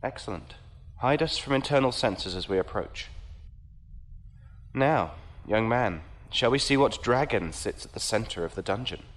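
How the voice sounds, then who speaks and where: A man's voice: medium pitch, expressive, moderate pace, lightly acted. A man playing a genteel knight who talks in a courtly, patronizing way with dry wit. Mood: wry. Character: genteel, condescending male knight with a ship.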